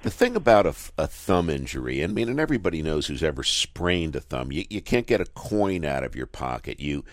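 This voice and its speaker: A man's voice: a bass voice, sing-song, brisk, few pauses, dry recording. A man hosting a sports radio talk show, asking rambling questions in a conversational, jokey way. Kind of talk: casual sports radio interview.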